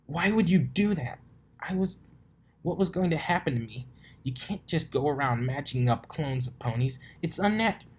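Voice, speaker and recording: A man's voice: on the high side, animated, moderate pace, breathy edge, pitched above the performer's own voice. A man voicing a brash, combative tomboy pegasus mare in an amateur storybook reading, demanding and emphatic, indignant and suspicious. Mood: shocked indignation.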